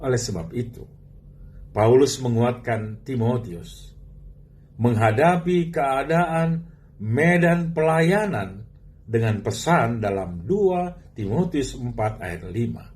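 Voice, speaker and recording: A man delivers a sermon as a preacher, using rhetorical questions and emphatic, drawn-out phrasing. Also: mid-range voice, animated, a little mellow.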